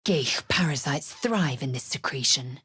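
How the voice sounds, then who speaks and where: An actress voicing a fierce, proud githyanki warrior woman in a game, speaking in a clipped, commanding way and often dropping low and hushed. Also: expressive, measured pace, breathy edge, dry recording, exaggerated voice. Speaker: game character. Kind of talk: studio game voice acting.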